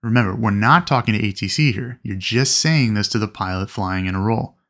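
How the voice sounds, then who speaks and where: A man narrating an instructional lesson as a flight instructor, explaining things clearly and methodically. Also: fairly low pitch, brisk, fairly bright tone. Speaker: flight instructor presenting a training video. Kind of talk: instructional narration with acted radio calls.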